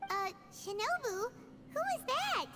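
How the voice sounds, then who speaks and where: A woman voicing an excitable, cheeky, childlike little fairy companion in a video game, with a squeaky voice. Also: measured pace, full character voice. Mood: nervous. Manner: speaks of herself in third person, exclaiming. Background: music.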